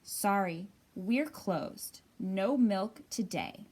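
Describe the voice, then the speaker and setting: A woman voicing polite but firm storybook farm animals reading out their notes in a formal, measured way. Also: medium pitch, expressive, a little mellow, breathy edge, exaggerated voice.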